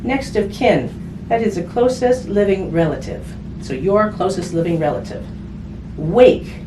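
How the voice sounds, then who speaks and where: Woman, children's book read-aloud, lively swooping intonation, simple explanations, distant noisy recording. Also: medium pitch, unhurried. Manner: addresses young listeners directly, explains simply. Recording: distant mic, roomy echo, clear background noise.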